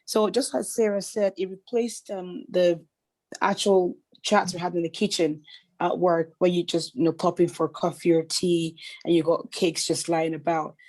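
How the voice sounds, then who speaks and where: A woman with a husky voice from a sore throat, chatting casually as a participant on a video call. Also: on the low side, fairly even intonation, normal speed, a little mellow. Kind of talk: casual chat.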